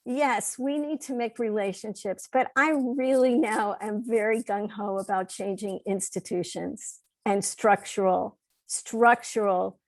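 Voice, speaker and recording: A woman with a gravelly, creaky edge shares earnest views at a roundtable. She leans on key words and repeats them. The sound is dry, over a video call. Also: mid-range voice, dry recording. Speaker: roundtable panelist. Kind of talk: earnest personal remarks at an online roundtable.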